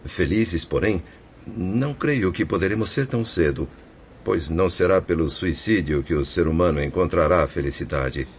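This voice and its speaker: Man acting as deep-voiced, remorse-ridden ruined merchant spirit. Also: normal speed. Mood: sorrowful, resigned. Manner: solemn, declamatory, formal. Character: remorseful male suicide spirit, ruined merchant, resigned yet anguished. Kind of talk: religious radio drama acting. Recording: dull lo-fi audio with faint noise.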